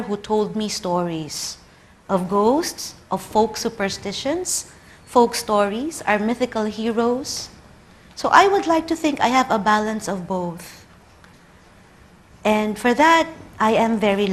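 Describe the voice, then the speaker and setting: Filipino woman in her 30s, tagalog first language, talks slow and unhurried, friendly storytelling at a conference. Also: mid-range voice, expressive. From Philippines. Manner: warm, personal storytelling with light humor. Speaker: conference speaker, librarian. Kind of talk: conference lecture with slides.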